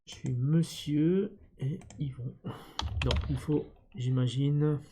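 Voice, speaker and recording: A man's voice: fairly high pitch, slow, mellow, husky. A man presenting an unscripted step-by-step screen tutorial, thinking aloud hesitantly as he goes. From born in Vietnam. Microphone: computer screen-recording voice-over.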